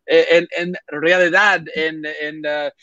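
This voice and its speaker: A man whose first language is English, speaking with a slightly raised pitch and pausing often to search for words. He restarts phrases and fills gaps with soft hesitations. The audio is dull and dry, like a remote call. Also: level tone, no echo. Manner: halting, many restarts and filler sounds. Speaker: podcast guest, baseball reporter. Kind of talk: casual interview talk in a second language.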